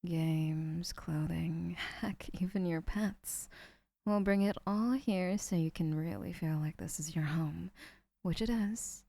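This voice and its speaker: A woman playing a doting, obsessive young woman who keeps the listener, speaking in a soft, whispery, sweetly possessive way in an audio roleplay. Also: on the low side, slow pace, vocal fry, no room sound, full character voice.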